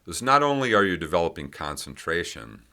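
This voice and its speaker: Male meditation guide, extremely low pitch with a bright, clear-edged tone, gentle phrasing and generous pauses. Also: sing-song. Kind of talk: guided meditation, unscripted instruction.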